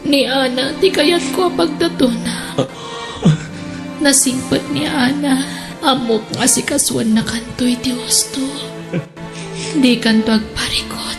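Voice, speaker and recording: A woman's voice: high-pitched, measured pace, music in the background. A woman with an Ilocano Filipino accent playing a frail, selfless dying sweetheart in an audio drama, tender and pleading. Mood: weak, resigned.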